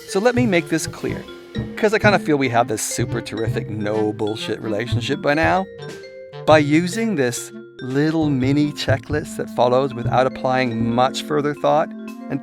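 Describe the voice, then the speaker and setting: A man reading a self-help audiobook in an irreverent, sweary, chatty way, with emphatic, theatrical phrasing. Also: medium pitch. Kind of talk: scripted narration, second-person self-help monologue. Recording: music behind the voice. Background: musical soundscape.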